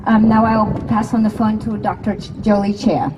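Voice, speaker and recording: A woman's voice: medium pitch, little pitch movement, normal speed, recorded from a distance, music in the background, low-quality recording. A woman, a faculty member, moderating an outdoor campus panel and introducing herself in a hesitant way, full of fillers and restarts.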